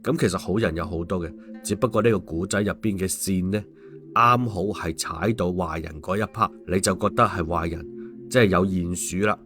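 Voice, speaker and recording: Male audiobook host, Hong Kong Cantonese, lowish voice, colloquial and opinionated, music behind. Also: moderate pace, fairly bright tone. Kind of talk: story narration and casual commentary.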